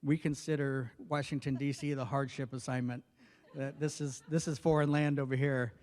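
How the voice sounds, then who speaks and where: A soft-spoken man in his forties from northwestern New Mexico gives a personal speech at a formal event. He talks at a middling pitch in a flat, steady tone, pausing often with fillers. His voice carries a long reverb from a large hall. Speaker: federal health official giving a speech. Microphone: podium microphone.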